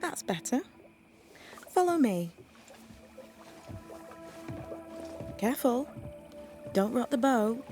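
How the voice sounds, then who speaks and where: A woman playing a blunt, sly young witch's novice in a video game, wry and teasing in a deadpan way. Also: a little high, a little slow, soft background music. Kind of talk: video game cutscene acting.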